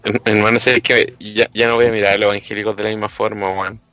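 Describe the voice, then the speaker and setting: A man's voice: fairly low pitch, level tone, fast, bright, forceful tone. A man voicing a lecherous, foul-mouthed young thug fresh from prison, with slangy Chilean speech, in an improvised comedy radio play. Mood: crude, incredulous.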